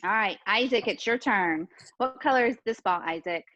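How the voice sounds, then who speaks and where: A woman's voice: mid-range voice, moderate pace, fairly bright tone, no room sound. A woman teaches a lesson to young children in an encouraging, questioning manner, often repeating what they answer. The recording is dull and muffled, with very little room sound. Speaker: pre-kindergarten teacher.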